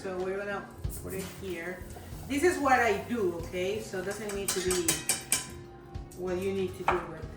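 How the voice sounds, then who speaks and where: A woman's voice: mid-range voice, normal speed. A woman from Peru with a Peruvian Spanish accent, casually talking through her cooking as a native Spanish speaker. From Peru, mountain region. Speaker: home cook showing a dish. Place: indoor kitchen with chopping and pots. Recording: echoey kitchen, distant mic, loud music underneath.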